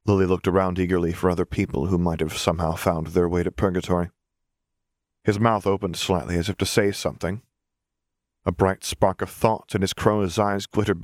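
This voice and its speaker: Very low-voiced male storyteller. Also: no room sound, studio quality. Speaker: audiobook narrator. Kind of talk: scripted story narration.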